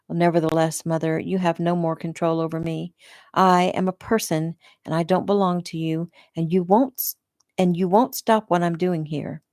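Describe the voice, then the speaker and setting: A woman reading the part of a vulnerable but defiant young woman who speaks softly. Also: lowish voice, fairly even intonation, normal speed, dry recording, clean studio sound, lightly acted. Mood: hurt. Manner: soft, pleading.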